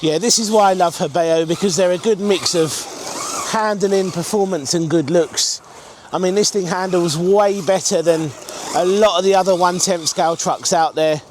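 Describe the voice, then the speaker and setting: A man's voice: sits high, normal speed, background noise. A man presenting a casual, enthusiastic product review, talking chattily to his viewers as the host. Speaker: RC car reviewer and video host.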